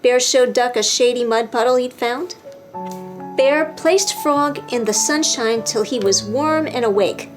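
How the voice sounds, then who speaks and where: Female children's storytime reader, high-pitched and expressive, music underneath. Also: a little slow. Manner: expressive, simple phrasing for young children. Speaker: children's librarian, storytime host. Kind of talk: children's storybook read-aloud.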